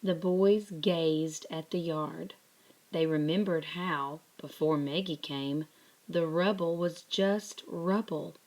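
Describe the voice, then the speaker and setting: A woman narrating a children's picture book aloud in a deliberate, expressive way. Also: sits low, low-quality recording. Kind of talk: scripted children's story narration.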